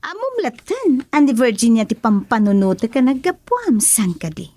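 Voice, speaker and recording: Shrill suspicious wife, high and swingy voice, dry sound. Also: female voice, sing-song, moderate pace, a little mellow, dry recording. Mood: accusing. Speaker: voice actor in radio drama. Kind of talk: Ilocano radio drama acting.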